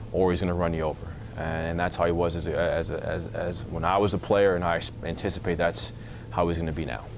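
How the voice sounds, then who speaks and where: Thirties guy coach talking in an interview, low voice, pretty even tone, noisy background. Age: 30s. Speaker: basketball performance coach. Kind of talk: informal on-camera interview.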